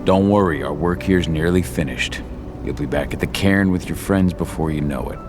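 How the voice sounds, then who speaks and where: A man acts out a protective warrior husband and father in a video game scene. His voice is extremely low and gruff, his manner stern and determined. Loud music plays underneath. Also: normal speed, lightly acted. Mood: calm, reassuring. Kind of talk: video game cutscene acting.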